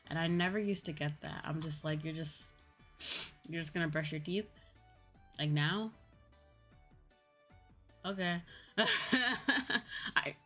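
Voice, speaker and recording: Low-pitched woman chatting casually on stream. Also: a bit bright. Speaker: streamer, VTuber host chatting with viewers. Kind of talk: casual livestream chat. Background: background music.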